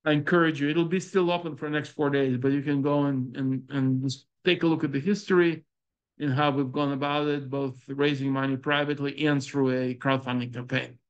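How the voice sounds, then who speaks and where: Ukrainian-accented man, halting presenter. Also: mid-range voice, fairly even intonation, clear, resonant tone, dull audio. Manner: halting, many fillers and restarts. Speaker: startup founder presenting. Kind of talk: conference presentation with audience questions.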